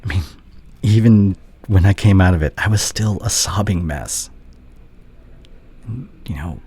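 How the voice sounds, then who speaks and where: A man playing a devoted, regretful doctor and anxious ex-boyfriend in an intimate ASMR audio roleplay, speaking haltingly and tenderly. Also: deep voice, warm tone, breathy edge. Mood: anguished, confessional.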